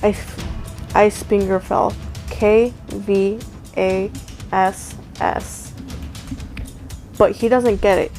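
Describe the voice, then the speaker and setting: A woman's voice: medium pitch, steady tone, drawn-out words, long pauses, a little mellow, soft background music. A woman reading a first-person story aloud in a casual, conversational way. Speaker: story narrator.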